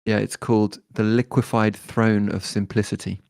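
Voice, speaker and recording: A man, talking as a guest musician in a relaxed interview, thoughtful and hesitant with many fillers and restarts. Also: lowish voice, moderate pace, vocal fry, no echo, clean studio sound. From born in Detroit, raised in London.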